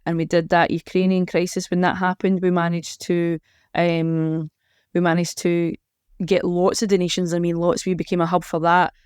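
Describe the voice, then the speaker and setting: A woman's voice: lowish voice, flat delivery, normal speed, darkish tone, clear, resonant tone, no room sound, clean studio sound. A woman with a Scottish accent chatting informally as a charity organiser being interviewed. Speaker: charity organiser, podcast guest.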